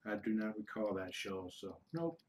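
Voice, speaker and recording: Lowish-voiced middle-aged guy rambling through stream questions, lots of restarts, faint background hum. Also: lively intonation. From United States. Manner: rambling, halting, with frequent restarts and fillers. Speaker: kitten foster livestreamer. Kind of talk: casual livestream Q&A, reading out viewer questions.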